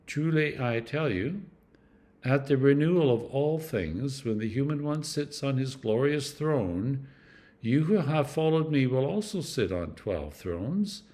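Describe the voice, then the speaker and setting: Expressive man reading scripture aloud. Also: mid-range voice. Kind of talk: scripture reading for a meditation series.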